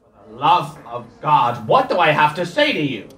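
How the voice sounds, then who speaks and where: A man's voice: fairly high pitch, sing-song, normal speed. A domineering, furious area manager tears into his staff, played by a man. His voice sounds distant in a roomy space. The scene is from a radio play. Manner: shouting, aggressive. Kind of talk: amateur radio play acting.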